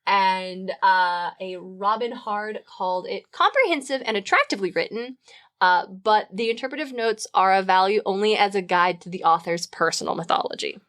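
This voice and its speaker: A woman's voice: mid-range voice, moderate pace, fairly bright tone. A woman co-hosting a podcast, explaining research in a casual, wry, opinionated and informal way. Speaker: podcast co-host.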